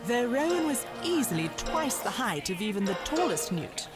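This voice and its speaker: A woman reading scripted storybook narration in a literary, expressive storytelling manner. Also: fairly high pitch, normal speed. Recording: music underneath.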